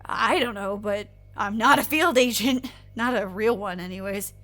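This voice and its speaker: A woman's voice: medium pitch, moderate pace, a bit bright, lightly acted. A woman playing an insecure, earnest young agent in a naturalistic audio drama, speaking in a hesitant, emotional, informal way. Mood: embarrassed, confiding.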